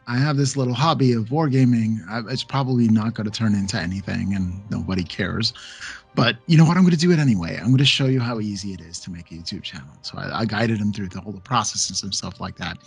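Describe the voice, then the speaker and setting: A man guest on a hobby podcast, telling stories in a relaxed, laid-back way and laughing often. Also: fairly low pitch, quick pace, creaky, breathy edge, no room sound, faint music behind. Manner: storytelling, laughs often, acts out quotes. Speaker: podcast guest, painting channel creator. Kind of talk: casual podcast chat.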